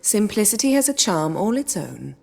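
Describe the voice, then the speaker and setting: An actress playing a cool, teasing, self-assured sorceress in a fantasy game, arch and poised. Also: female voice, medium pitch, very animated, normal speed, vocal fry, darkish tone. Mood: arch, amused.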